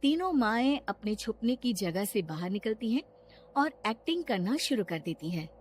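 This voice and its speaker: High-pitched woman narrating a children's story. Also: big pitch swings, normal speed, a little hiss or hum.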